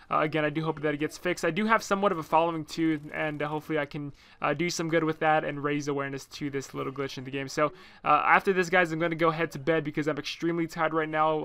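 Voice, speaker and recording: A man's voice: fairly high pitch, fairly bright tone, clean tone. A young man with a stuffed-up nose, rambling apologetically through a gaming commentary voice-over with many fillers. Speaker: gaming YouTuber.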